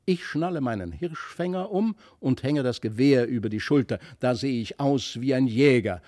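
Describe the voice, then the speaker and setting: Man voicing an excitable, boastful little boy. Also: medium pitch, moderate pace, close to an everyday voice. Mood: eager, restless. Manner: excitable, emphatic, exclamatory. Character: impulsive, boastful young boy, quick to scorn his toys. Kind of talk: storybook character voice.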